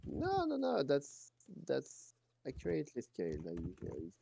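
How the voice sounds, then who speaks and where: A man's voice: mid-range voice, huge pitch swings, a little slow, creaky, warm tone, a little hiss or hum. A man with a French accent chatting casually on a live stream over a voice call, insistent and hesitant with many restarts. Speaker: stream guest.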